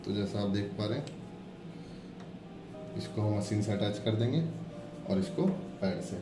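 A man's voice: fairly low pitch, normal speed, music in the background. A man presenting an informal product demonstration, instructing viewers step by step and addressing them as friends. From India.

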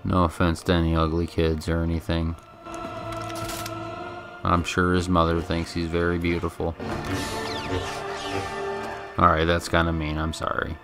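A man's voice: deep voice, completely flat delivery, a little slow, pauses often, music in the background. A man giving casual, offhand, rambling commentary on a video game. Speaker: gameplay commentator.

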